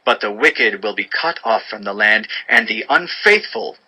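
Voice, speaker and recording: A man narrating a dramatized audio Bible in a solemn, measured, earnest manner. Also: fairly low pitch, animated, bright, forceful tone, slightly rough, rough recording. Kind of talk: dramatized scripture reading. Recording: background music under voice.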